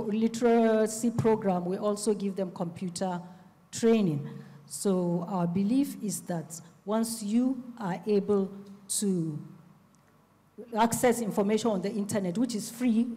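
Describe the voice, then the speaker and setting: A middle-aged woman with a Nigerian accent speaking as a panelist, measured and pausing deliberately, into a handheld microphone on a stage. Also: mid-range voice, dark tone. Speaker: panelist, publisher and literacy advocate. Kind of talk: panel discussion talk. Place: on stage in a festival hall. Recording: reverberant hall sound.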